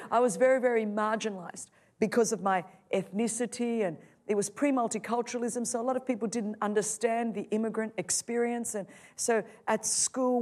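A middle-aged woman preaches her testimony. She is passionate and builds from soft, low passages to loud, soaring ones. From Australia. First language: Greek. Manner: impassioned, swings from loud to soft. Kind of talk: sermon testimony.

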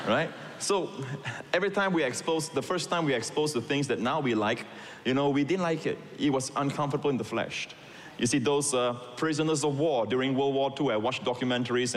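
A middle-aged man from Singapore, raised in Malaysia, preaching a sermon with emphatic asides and calls to the congregation. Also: medium pitch. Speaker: pastor. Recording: long reverb in a large hall.

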